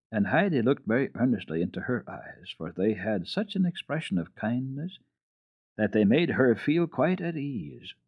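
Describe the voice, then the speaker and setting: Low-voiced man reading dramatically, very animated pitch. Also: slightly rough. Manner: expressive, measured, deliberate. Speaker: storyteller, radio host. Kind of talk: dramatic reading of children's literature. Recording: dull, roomy old radio recording.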